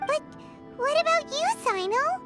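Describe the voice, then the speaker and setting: Game role: tiny childlike fairy, squeaky, sky-high, swooping delivery over loud music. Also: female voice, lively intonation, unhurried. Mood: concerned. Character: tiny, excitable, childlike female fairy companion. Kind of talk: video game dialogue acting.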